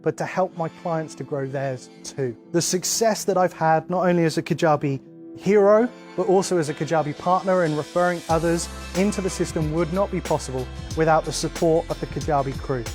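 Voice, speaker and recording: A man's voice: on the high side, fairly quick, a little mellow. A man from England telling his story as a business owner in a promotional testimonial. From England, near London. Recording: music bed under the voice. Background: music.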